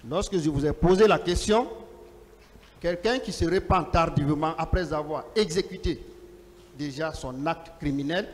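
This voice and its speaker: A man speaking as a lawyer in a formal hearing, reading testimony aloud and putting questions in a deliberate, declamatory way with pauses between short phrases. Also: a little high. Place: large courtroom. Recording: reverberant large courtroom, long echo.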